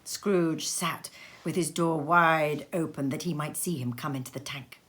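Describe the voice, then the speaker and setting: Sex: woman. Role: narrator. Style: expressive storybook reading. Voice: low and bright. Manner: lively and theatrical. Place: a cozy sitting room at home. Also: measured pace. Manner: lively and theatrical, with many voice changes. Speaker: narrator reading a classic tale.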